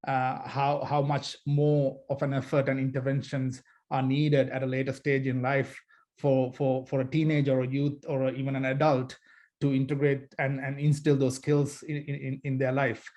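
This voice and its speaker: Measured Sri Lanka-born man, flat tone. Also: mid-range voice. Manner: measured, many fillers and restarts. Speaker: nonprofit founder, panel speaker. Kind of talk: panel discussion talk.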